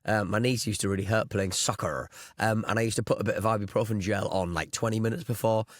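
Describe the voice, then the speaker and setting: A man co-hosting a podcast with a hoarse, raspy voice strained from shouting, rambling in casual chat. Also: fairly low pitch, fairly even intonation, fast, no echo, studio quality. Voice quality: raspy, rough. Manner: rambling, full of fillers and self-deprecating asides. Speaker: podcast co-host.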